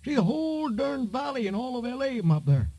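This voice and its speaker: Husky, high-pitched rural American old-timer. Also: male voice, big pitch swings, fairly quick, mellow, heavily acted. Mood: sly, amused. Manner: drawling, homespun, chatty. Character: folksy old male car lot attendant, talkative and sly. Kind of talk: 1950s radio drama acting. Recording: muffled vintage radio recording.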